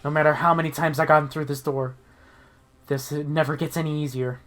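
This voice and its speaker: A man's voice: fairly high pitch, fairly even intonation, a little fast, fairly bright tone, faint music behind. A man hosting a playthrough, moving between narration and casual, sweary banter. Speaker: host reading a game aloud.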